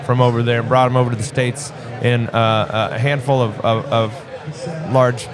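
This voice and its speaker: A man in his forties, born in Vietnam and raised in the US Midwest, chatting casually as an interview guest with a relaxed, rambling manner and many restarts. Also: medium pitch, normal speed. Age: 40s. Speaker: guest musician. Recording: crowd noise behind.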